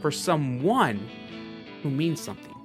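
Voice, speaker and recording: A man near forty talks earnestly as a podcast co-host, stumbling over fillers and restarts. His pitch swings up and down with lots of movement. Faint music runs underneath. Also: sing-song, unhurried. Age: 30s. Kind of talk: casual motivational podcast chat.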